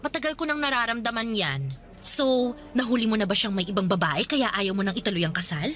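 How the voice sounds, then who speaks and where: Woman acting high-voiced, nosy, chatty girlfriend. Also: fairly quick, fairly bright tone, natural voice. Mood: frank, probing. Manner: chatty, prodding, colloquial. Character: nosy, frank young female best friend, chatty. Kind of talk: Tagalog radio drama acting.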